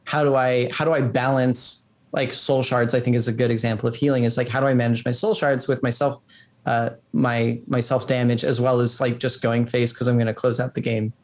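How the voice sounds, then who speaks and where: A man's voice: medium pitch, flat delivery, fairly quick. Casual man explaining his work, rambling. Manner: rambling, peppered with "like". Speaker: game developer being interviewed. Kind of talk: casual interview answers.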